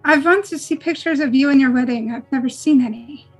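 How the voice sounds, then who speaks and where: A woman playing a gently curious young daughter-in-law in natural dialogue at a script read. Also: sits high, a little fast, faint music behind, lightly acted. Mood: gentle, curious.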